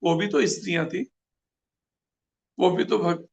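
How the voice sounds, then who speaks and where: Gravelly high-voiced preacher. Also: male voice, steady tone, unhurried, dull audio. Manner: earnest, rhetorical questions. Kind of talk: scripture class.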